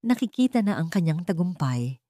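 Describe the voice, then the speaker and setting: A woman with a Filipino accent reads a dramatic story as a scripted audiobook. Her voice sits low for a woman. The delivery is measured and formal, and the sound is close and dry, free of room reflections. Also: big pitch swings, mellow, no room sound.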